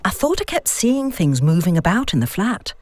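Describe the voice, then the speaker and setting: A woman's voice: medium pitch, big pitch swings, moderate pace, darkish tone, no echo. A woman voicing an uneasy middle-aged woman, curious and wary, in an audiobook story. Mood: hesitant. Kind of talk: audiobook dialogue.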